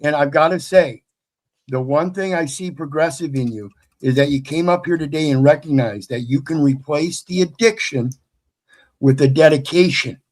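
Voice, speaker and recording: A man's voice: on the high side, normal speed, darkish tone. A man on a discussion panel, talking bluntly and emphatically in a preachy way. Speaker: panel guest. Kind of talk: casual panel talk.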